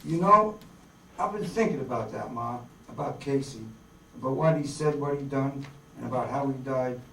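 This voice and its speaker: A man's voice: medium pitch, moderate pace, gravelly, fairly bright tone, voice pushed down. A man playing an earnest, idealistic young farm-born outlaw in folksy rural dialect, in a staged radio drama reading. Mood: troubled resolve. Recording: roomy hall, distant mic, faint noise.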